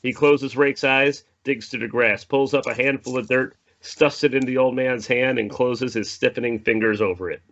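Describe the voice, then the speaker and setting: A man's voice: medium pitch, steady tone, normal speed, few pauses, a bit bright. A man narrating the stage directions of a screenplay in an informal table read. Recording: dull lo-fi audio with faint noise.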